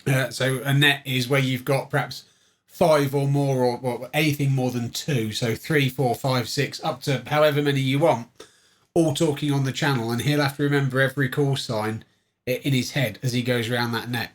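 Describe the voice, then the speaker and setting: A man's voice: mid-range voice, fairly even intonation, normal speed. A British man hosting a casual vlog, chatting informally and playfully, often as if thinking aloud. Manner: informal, playful, talks to himself. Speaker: vlogger, livestream host.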